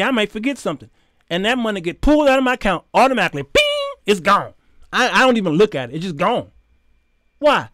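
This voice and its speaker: High-pitched, emphatic American man hosting. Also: no echo, clean studio sound. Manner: animated, preacherly, emphatic, with rhetorical questions and acted-out dialogue. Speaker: talk show host. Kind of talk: informal opinionated monologue to camera. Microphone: close condenser microphone on boom arm.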